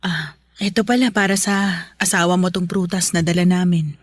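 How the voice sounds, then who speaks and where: An actress playing a generous middle-aged neighbor in a Filipino radio drama, chatty and coaxing. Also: female voice, fairly low pitch, steady tone, moderate pace, no echo, studio quality, close to an everyday voice. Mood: tentative, polite. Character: Tita Nemya, generous middle-aged neighbor lady, reconciling.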